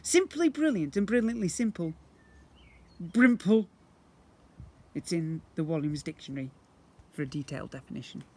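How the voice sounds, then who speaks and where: A British man in his fifties reads a children's storybook aloud like a teacher, theatrically, with drawn-out emphasis and acted sound words. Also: on the high side, animated, darkish tone, husky. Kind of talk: expressive children's storybook reading. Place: outdoors beside brick houses. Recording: noisy outdoor amateur video recording.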